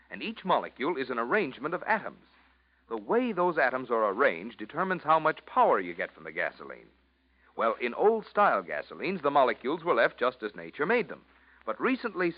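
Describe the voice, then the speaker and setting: A man announcing in polished, emphatic 1940s radio commercial style, selling as he speaks. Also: medium pitch. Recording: muffled vintage radio recording, faint music.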